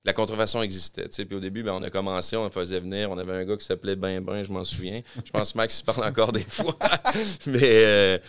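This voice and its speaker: A man from Quebec City with a Québécois accent, a podcast guest telling his business story in fast, rambling casual chat. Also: on the low side, a bit bright, clean tone. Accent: Québécois French. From Quebec City, Canada. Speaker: podcast guest, clothing-store co-founder.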